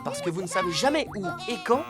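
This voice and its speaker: A woman's voice: medium pitch, sing-song, fast talker, natural voice. A woman voicing a talking rescue police car in a children's cartoon, firm and instructive in manner. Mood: firm teaching. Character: calm, authoritative talking rescue police car giving safety lessons. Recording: music behind the voice.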